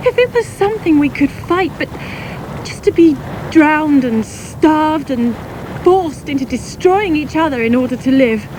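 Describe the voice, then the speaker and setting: An actress playing an emotional, stubborn, sharp-tongued wife fleeing disaster in a radio drama. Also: female voice, sits high, animated, a little slow. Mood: anguished, despairing. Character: anguished, stubborn wife fleeing a drowned Britain. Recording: noisy outdoor boat and water sound effects.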